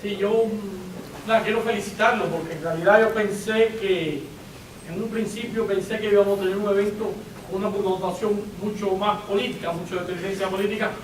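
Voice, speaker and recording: A high-voiced man in the audience speaks hesitantly, heard from far off in an echoey room. A steady noise sits behind him. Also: fairly quick. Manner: hesitant, complimentary. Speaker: audience member. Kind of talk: audience remark. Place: library meeting room. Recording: roomy, distant, noisy hall recording.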